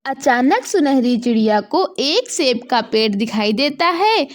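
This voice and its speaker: Female narrator, somewhat high pitch, scripted children's storytelling. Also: very animated, measured pace, slight background noise.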